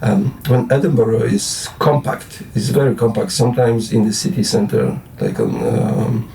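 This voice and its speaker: Polish man with a dark voice. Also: mid-range voice, normal speed, vocal fry, recorded from a distance. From Poland. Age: 40s. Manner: rambling, hesitant, many restarts and fillers. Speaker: guest musician. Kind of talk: casual late-night interview.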